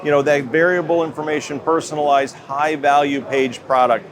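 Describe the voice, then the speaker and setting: A middle-aged American man answering interview questions as a company executive in measured corporate talk. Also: a little high, steady tone. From United States. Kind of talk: trade show interview. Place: trade show floor. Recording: noisy show-floor ambience.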